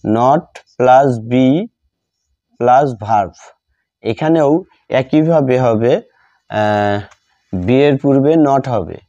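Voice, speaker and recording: A man with a Bengali accent teaching a grammar lesson step by step, halting and repeating phrases in short fragments as he writes. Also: mid-range voice, dark tone. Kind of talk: step-by-step grammar lesson, spoken while writing. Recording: dull lo-fi sound.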